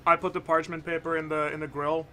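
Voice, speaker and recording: A man chatting casually as a guest on a cooking livestream, easygoing and quick to laugh. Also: fairly high pitch, level tone, pushed and bright, slight background noise.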